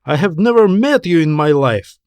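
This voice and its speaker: A man's voice: a little high, expressive, normal speed, darkish tone, clear, resonant tone, no room sound, voice pushed up. A man voicing an indignant, baffled man in an audiobook story, speaking in a challenging way. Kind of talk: audiobook character acting.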